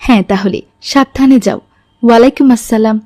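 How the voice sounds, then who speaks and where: A woman's voice: a little high, fairly quick, natural voice. A woman voicing a pious, strict but caring middle-aged mother in a moral-tale cartoon, instructing in a preachy way. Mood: gentle, instructive.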